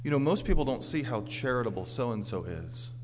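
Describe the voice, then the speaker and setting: A man's voice: medium pitch, animated, brisk, noisy background. An American man preaching a sermon as a pastor, earnest and emphatic in delivery. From Orlando, Florida, United States. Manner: earnest, emphatic, swinging from forceful declarations to quieter storytelling. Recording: reverberant large hall.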